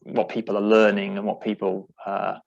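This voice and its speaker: British man, panel discussion, halting speech, low pitch, muffled lo-fi sound. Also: monotone, gravelly, darkish tone. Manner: hesitant, many restarts and fillers. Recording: dull lo-fi audio.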